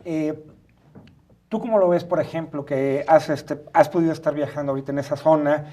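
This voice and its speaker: Man, Mexican accent, fairly high pitch, soft dark timbre, halting spontaneous commentary, co-host. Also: fairly even intonation. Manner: halting, frequently ends phrases seeking agreement. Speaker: talk show co-host and free-speech advocate. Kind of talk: studio discussion, spontaneous commentary. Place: internet TV studio.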